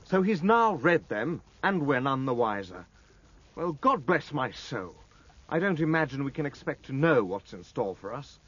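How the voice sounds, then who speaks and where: Husky, sardonic British naval officer. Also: male voice, mid-range voice, very animated, normal speed, a bit bright. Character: sardonic, world-weary British naval lieutenant. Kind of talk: 1950s radio acting. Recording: dull old radio recording.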